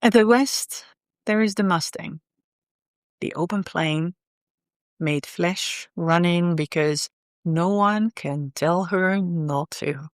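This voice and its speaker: A woman leading a guided meditation in a soothing, unhurried way, often pausing in the middle of phrases. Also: fairly low pitch, no room sound, clean studio sound.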